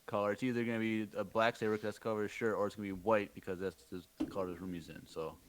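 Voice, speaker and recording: A man's voice: on the low side, little pitch movement, very fast, a little hiss or hum. A man co-hosting a podcast in casual chat. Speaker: podcast co-host.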